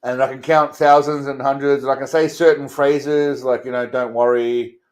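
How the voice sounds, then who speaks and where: A man's voice: mid-range voice, flat delivery, moderate pace, a little mellow. A man with an Australian accent hosting a casual livestream, rambling and swearing in a crude, blokey way as he talks to viewers. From Australia, Melbourne suburbs. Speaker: host of a livestream channel. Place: indoors.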